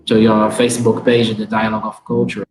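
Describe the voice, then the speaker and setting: A man with a Brazilian Portuguese accent hosting an online chat from home, speaking halting English and laughing often. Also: fairly low pitch, monotone, a crowd in the background. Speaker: host. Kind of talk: online conversation, relaying audience comments. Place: at home, video call.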